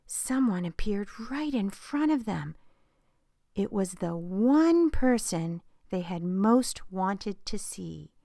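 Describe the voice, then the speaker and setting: A woman reading a Bible story aloud for children, telling it expressively and dropping to a hushed whisper at dramatic moments. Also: medium pitch, vocal fry, no room sound, studio quality.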